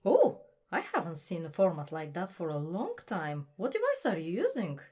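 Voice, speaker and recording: Woman playing a husky, swooping grand diva. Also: lowish voice, huge pitch swings, normal speed, warm tone, heavily acted. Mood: gracious, self-satisfied. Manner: affected, theatrical, haughty. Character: grand, vain, indulgent celebrity diva. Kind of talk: audio drama acting.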